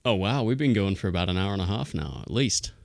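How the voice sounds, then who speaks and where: Very deep-voiced man, dry sound. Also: quick pace, no room sound. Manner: relaxed, self-deprecating. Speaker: show host. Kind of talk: casual livestream chat.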